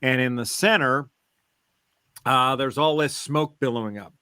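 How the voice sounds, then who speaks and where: A man co-hosting a podcast, explaining things step by step in a halting, casual way. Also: mid-range voice, sharp tone, clean tone, no room sound, studio quality. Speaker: podcast co-host. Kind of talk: casual fan discussion, recounting and theorizing.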